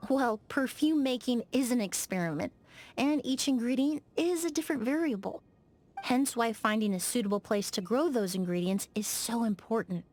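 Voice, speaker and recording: Woman voicing poised, high-voiced young perfumer. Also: natural voice. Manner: measured, articulate, politely blunt. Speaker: game character voice actor. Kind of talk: scripted video game dialogue.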